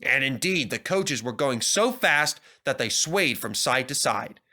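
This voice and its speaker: A man's voice: mid-range voice, expressive, unhurried, very noisy. A man narrating a scripted children's story expressively as a storybook reader.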